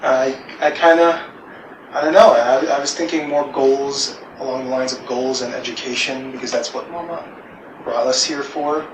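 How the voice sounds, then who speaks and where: A man's voice: mid-range voice, steady tone, normal speed. A young man speaking thoughtfully and hesitantly, with many fillers, in a personal interview. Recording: echoey, noisy room.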